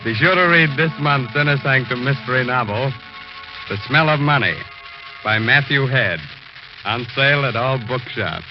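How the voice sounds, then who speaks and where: A man's voice: mid-range voice, animated, normal speed, muffled recording, music in the background. A man hosting a 1940s radio mystery show in a wry, tongue-in-cheek manner, on an old recording. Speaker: host of a radio mystery series.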